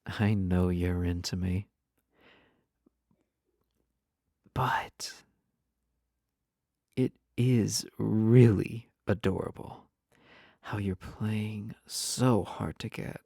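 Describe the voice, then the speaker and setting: A performer voicing a flirty, possessive yandere spouse in an intimate audio roleplay, teasing and coy, often dropping into breathy whispers. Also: sits low, takes it slowly, warm tone, dry recording, clean studio sound, heavily acted. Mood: teasing, seductive. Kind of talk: intimate roleplay audio drama, spoken to listener.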